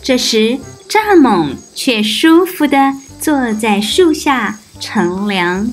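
A woman's voice: a little high, very animated, slow, faint music behind. A woman hosting a preschool storytime, narrating expressively and speaking directly to small children. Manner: addresses small children with questions and soft particles.

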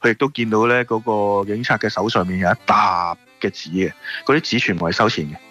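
A man's voice: fairly low pitch, steady tone, a little fast, pushed and bright, highs cut off. A man with a Hong Kong Cantonese accent chatting casually and explaining things as a radio guest. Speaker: radio interview guest, shop owner. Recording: music playing behind the voice. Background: background music.

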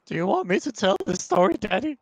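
Man doing a high, gravelly childlike son. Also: sing-song, fast, darkish tone, no room sound, heavily acted. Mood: eager. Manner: whiny, deferential, calls father Daddy. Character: meek childlike grown son, clingy and jittery.

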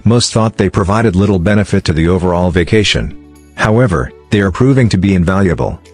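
A man narrates a scripted explainer in a low-pitched voice. His delivery is measured and formal, with music playing softly behind him. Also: animated. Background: background music.

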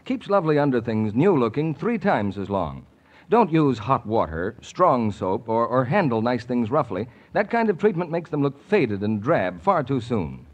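A man's voice: mid-range voice, big pitch swings, normal speed, dull audio. A man announcing and reading advertising copy in a 1940s radio style.

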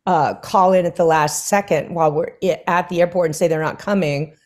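An older woman, a singer, chatting over a video call from her living room and telling lively stories with many fillers. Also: lowish voice, fairly quick. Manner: animated storyteller, many fillers. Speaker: guest singer. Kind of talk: casual conversation.

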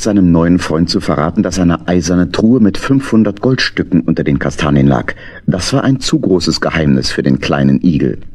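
A man tells a children's story as its narrator. His voice is low and husky, and his delivery rises and falls in a very lively way.

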